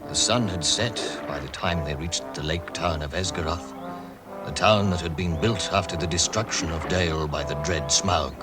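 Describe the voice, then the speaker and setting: Low-voiced male storyteller over music. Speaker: narrator. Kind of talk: scripted storytelling, 1960s radio drama. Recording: vintage radio recording under prominent music.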